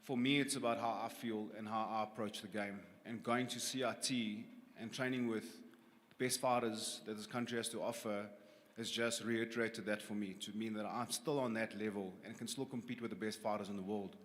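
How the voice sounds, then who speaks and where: A man's voice: fairly low pitch, steady tone, fairly quick, fairly bright tone. A confident man in his forties from South Africa, answering questions as a fighter at a press conference. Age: 40s. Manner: confident, plain-spoken. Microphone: handheld microphone. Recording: long hall reverb.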